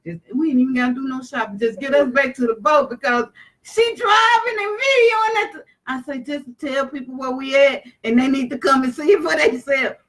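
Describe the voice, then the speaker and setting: A woman telling a travel story in casual chat, animated and dramatic, jumping to high exclamations. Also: a little fast, rough recording. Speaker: vlogger.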